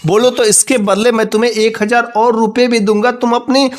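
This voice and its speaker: A man's voice: very high-pitched, flat delivery, brisk, pitched above the performer's own voice. A man voicing a greedy, cowardly village merchant in a folk tale, wheedling and repeating himself. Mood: pleading. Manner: wheedling, repetitive pleading. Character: greedy male village merchant, scheming, cowardly when threatened.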